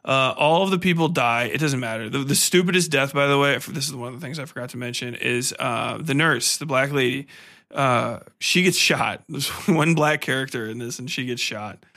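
A man's voice: mid-range voice, moderate pace, bright, forceful tone. A man hosting a solo podcast review, ranting casually with heavy swearing, slang and exasperated sarcasm. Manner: profane, irreverent, slangy. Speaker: podcast host reviewing a film.

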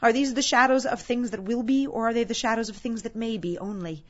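An old miser begs for mercy, frightened and repentant, acted out by a woman storyteller with raised pitch and loud, fervent cries. The storybook recording is slightly dull. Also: fairly even intonation, brisk, natural voice. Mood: earnest pleading. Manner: fervent, exclamatory, beseeching.

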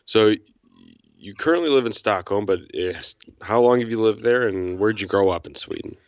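A man's voice: on the low side, normal speed, no room sound. A man interviewing someone in a casual, chatty style on a lo-fi recording. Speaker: podcast interviewer. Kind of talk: casual chat. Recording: dull, lo-fi but dry sound.

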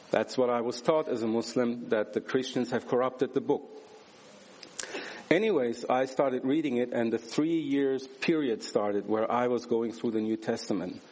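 A man's voice: mid-range voice, a little mellow, low-quality recording. A man from Switzerland giving a church sermon, earnest and emphatic, with rhetorical questions and a personal testimony. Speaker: guest preacher.

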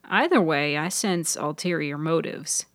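A woman's voice: sits low, expressive, a little slow, no room sound. Woman reading as earnest, awkward young man. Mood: wary. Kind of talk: audio fiction character dialogue.

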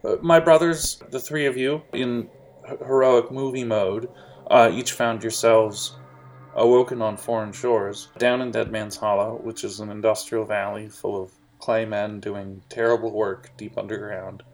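A man narrating a tabletop role-playing game as game master, halting with fillers and restarts. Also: lowish voice, steady tone.

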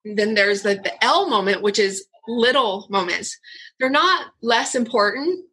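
A woman's voice: on the high side, bright, forceful tone, slightly rough, rough recording. A woman in her forties, an author and workshop leader, answering interview questions in an earnest, effusive way with many restarts, over a video call.

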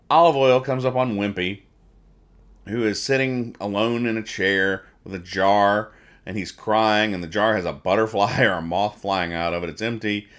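A man with a fairly low voice hosts a casual podcast about comic strips. He talks through each one in a rambling way, explaining it with mock earnestness. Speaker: podcast host.

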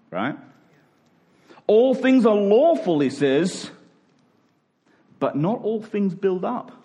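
A man's voice: high voice, mellow, dull audio. A man preaching a conversational sermon, emphatic and rhetorical, often voicing imagined objections.

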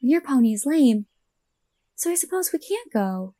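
A woman voicing an excited young woman who talks quickly to her friend. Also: sits high, dry recording, voice pushed up.

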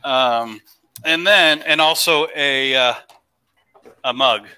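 A male pastor co-hosting the show, with a very sharp, bright edge to his voice. He speaks in a friendly way and often stops and restarts his sentences. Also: medium pitch, clear, resonant tone. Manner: halting, friendly. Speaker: pastor, co-host. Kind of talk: casual chat.

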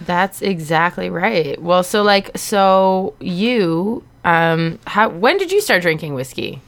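A woman's voice: lowish voice, lively intonation, takes it slowly. A woman co-hosting a podcast, chiming in with teasing, playful remarks in casual chat. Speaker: podcast co-host.

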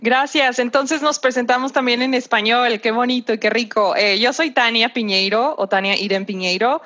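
A woman's voice: on the high side, brisk, a bit bright. Young Mexican woman, dull lo-fi call. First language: Spanish. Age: 20s. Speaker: guest, nonprofit research associate. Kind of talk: prepared podcast interview answers.

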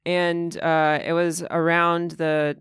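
A young woman from Moscow, a stand-up comic, chatting as a guest with jokes, giggles and halting restarts. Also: on the low side, level tone, clean tone, no echo, studio quality. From Moscow, Russia. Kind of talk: casual interview chat.

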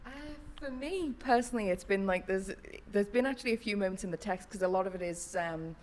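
A woman actor guest chatting casually, relaxed and using "like" often. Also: medium pitch, a little fast. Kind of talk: casual chat.

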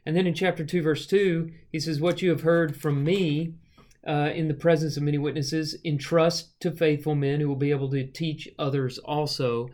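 A man's voice: fairly high pitch, little pitch movement, moderate pace, darkish tone. A man co-hosting a casual Bible discussion, thoughtfully explaining scripture with a touch of self-deprecating humor. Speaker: Bible study co-host.

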